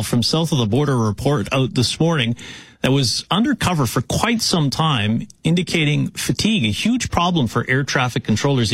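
A man's voice: medium pitch, fairly bright tone, no room sound, low-quality recording. A man hosting a news talk radio interview, conversational and questioning.

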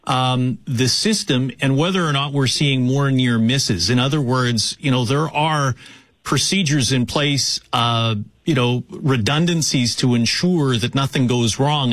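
A man's voice: mid-range voice, fairly bright tone, no room sound. A man hosting a news talk radio interview, conversational and questioning.

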